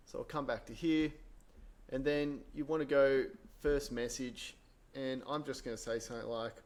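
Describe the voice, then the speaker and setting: A man with a medium-pitched voice gives a casual screen-share tutorial. He explains things informally and step by step, often thinking aloud as he goes. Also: fairly even intonation, moderate pace. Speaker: tutorial presenter.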